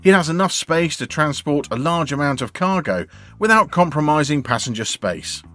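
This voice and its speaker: A man narrating a scripted video in an even, read-out delivery. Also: on the high side, normal speed, no echo. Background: faint background music.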